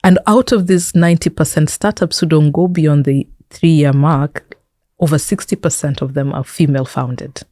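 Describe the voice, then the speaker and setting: A woman with a Kenyan accent talks as a guest in a conversational interview. Her voice is low and relaxed, recorded very dry. She explains by example and repeats words for emphasis. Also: moderate pace, no echo. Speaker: interview guest, tech entrepreneur and founder.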